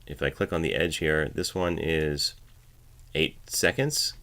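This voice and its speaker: A man's voice: very deep voice, a little slow, a bit bright. A man narrating an instructional screencast, explaining each step in a casual way as a voiceover artist giving a tutorial. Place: home voiceover studio, at the editing station.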